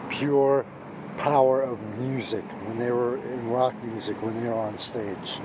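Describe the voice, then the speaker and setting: A hoarse middle-aged man giving an emphatic, gushing music review, recorded outdoors on a city street at night, restarting his sentences often. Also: mid-range voice, steady tone, measured pace. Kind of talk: off-the-cuff video review. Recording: street noise and traffic behind.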